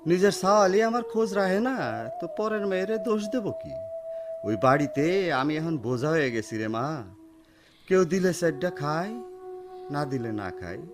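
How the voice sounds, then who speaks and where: An actor playing an aging, tender and sorrowful village father in a Bengali radio drama, with a rural Bangladeshi accent and drawn-out, emotive speech. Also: high voice, expressive, music in the background. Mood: bitter, sad. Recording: noisy radio drama recording with clicks.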